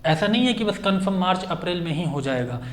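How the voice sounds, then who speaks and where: A man's voice: sits high, lively intonation, quick pace. A man speaking as a coaching teacher, giving an informal explanatory update and repeating key phrases for emphasis, with many English words mixed in.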